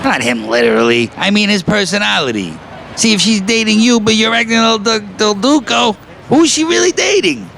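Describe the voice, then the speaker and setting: An actor playing a blunt, wisecracking New construction worker in an audio play, with a sardonic, needling delivery. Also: male voice, sits high, very animated, moderate pace, creaky, bright, forceful tone, some chatter behind, full character voice. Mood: wry, needling. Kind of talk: naturalistic audio play dialogue. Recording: noisy city street with traffic. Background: urban street ambience, vehicles.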